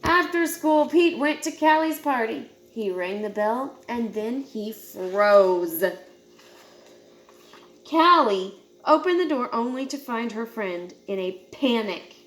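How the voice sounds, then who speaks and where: A woman reading a children's picture book aloud in an expressive storytelling style. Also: on the high side, slow pace. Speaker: storybook reader.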